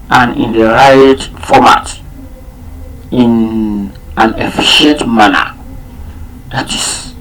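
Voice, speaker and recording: A man whose first language is Yoruba presents a talk at a slow, unhurried pace, stopping on fillers and false starts. He repeats his main points for emphasis, and his voice sits at an ordinary middle pitch over a faint hum of background noise. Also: poor audio. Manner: halting, many fillers and restarts. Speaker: program presenter. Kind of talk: unscripted spoken talk.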